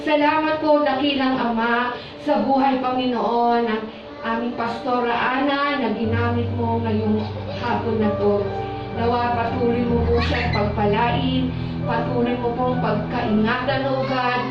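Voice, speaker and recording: A woman's voice: fairly high pitch, fairly even intonation, unhurried. A Filipino woman leading a fervent, pleading church prayer in short, devout phrases, calling repeatedly on God. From Philippines. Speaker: prayer leader at a church service. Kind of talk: fervent spoken closing prayer with benediction. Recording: echoey church hall, distant muffled mic, music behind. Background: worship music.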